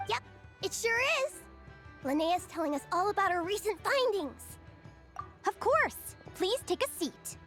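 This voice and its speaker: A woman's voice: very high voice, lively intonation, measured pace, faint music behind, heavily acted. A woman voicing a cheerful young naturalist in a video game, lecturing to children in an encouraging way. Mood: upbeat, teacherly. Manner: bright, encouraging, teacherly.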